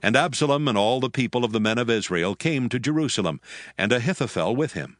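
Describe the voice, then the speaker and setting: A man's voice: medium pitch, expressive, fast talker, no room sound, clean studio sound. A man narrating scripture from a script. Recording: music in background.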